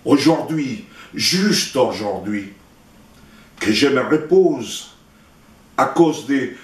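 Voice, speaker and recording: Slow, echoey Chilean man reciting poetry. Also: medium pitch, animated. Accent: Chilean Spanish. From Santiago, Chile. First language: Spanish. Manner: deliberate, declamatory, with pauses between short phrases. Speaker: poet reading own work. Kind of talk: solemn poetry recitation in French. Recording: roomy echo, distant microphone.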